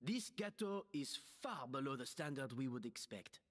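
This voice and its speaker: An actor playing a formal, exacting cooking contest judge in an anime-style video game, deliberate and declamatory. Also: medium pitch, animated, big-room sound, natural voice. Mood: solemn.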